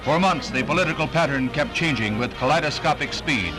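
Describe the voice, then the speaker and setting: American newsreel man over loud music. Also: mid-range voice, brisk, rough recording. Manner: dramatic, authoritative announcer delivery. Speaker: newsreel narrator. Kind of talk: scripted 1960s newsreel narration. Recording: vintage 1960 newsreel soundtrack.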